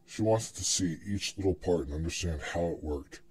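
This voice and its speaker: Low raspy guy narrating a story from a script. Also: normal speed, darkish tone.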